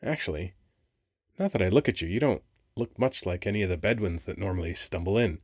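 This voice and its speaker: A man playing a flirty, wry, weary sphinx who guards a temple, talking casually and haltingly in an ASMR roleplay. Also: sits low, lively intonation, dry recording, studio quality. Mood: wry, bored.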